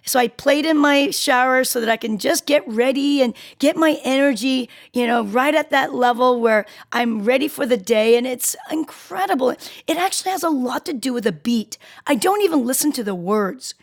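A middle-aged woman with a raspy voice, hosting a radio interview in a chatty, conversational way. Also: high-pitched, moderate pace, few pauses. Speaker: radio show host. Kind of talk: casual interview chat.

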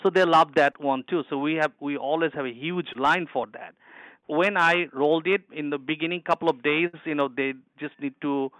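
A man's voice: fairly high pitch, moderate pace, fairly bright tone, no room sound, low-quality recording. A man from Bangladesh with a Bangladeshi accent, a hospital chef telling eager, rambling stories in an interview. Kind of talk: interview answers.

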